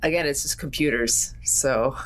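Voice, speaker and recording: A woman's voice: rumbling low, normal speed, rough recording. A woman chatting casually as a tabletop game player, somewhat hesitant in her delivery. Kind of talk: casual chat.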